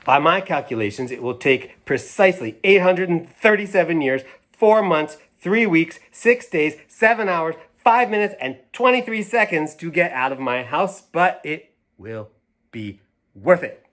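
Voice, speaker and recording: A woman's voice: fairly low pitch, lively intonation, a little slow, a bit bright, pitched above the performer's own voice. Man reading as a sulky, melodramatic little girl. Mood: precise, huffy. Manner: pompous, emphatic, over-precise declarations. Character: dramatic, sulky, indignant little girl, jealous of brother.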